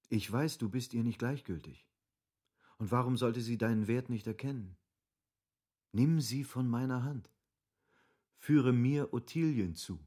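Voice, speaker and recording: A man's voice: lowish voice, dark tone. An impulsive, obstinate nobleman, formally emphatic. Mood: earnest pleading. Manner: formal, emphatic, rhetorical phrasing. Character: impulsive, obstinate wealthy nobleman pleading with his friend. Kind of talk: literary German radio drama acting.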